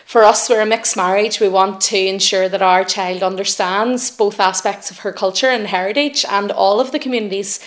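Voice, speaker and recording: A woman's voice: medium pitch, steady tone, normal speed, hardly any pauses. A woman from West Belfast with a Belfast accent, speaking as an interviewed parent in an earnest, happy way.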